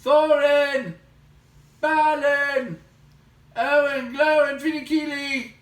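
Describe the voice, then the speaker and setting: A man's voice: very high-pitched, fairly even intonation, slow, a bit bright, voice pushed up, full character voice. A man voicing a small, timid hobbit burglar in a storybook, anxious, plaintive and full of self-doubt. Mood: panicked shouting. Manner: plaintive, crying out when frightened. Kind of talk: children's fantasy storybook read aloud. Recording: roomy, distant microphone, light noise.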